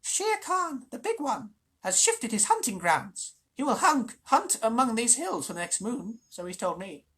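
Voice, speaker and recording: A reader voicing a sly, fawning jackal from a storybook in a very high, strained voice, mocking and wheedling. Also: big pitch swings, unhurried, fairly bright tone, slightly rough, heavily acted. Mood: spiteful. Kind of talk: storybook reading.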